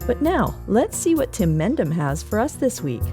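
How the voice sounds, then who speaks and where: A woman's voice: medium pitch, very animated, moderate pace, warm tone, soft background music. A Canadian woman reading a scripted podcast newsletter segment in an upbeat, welcoming manner. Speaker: newsletter reader.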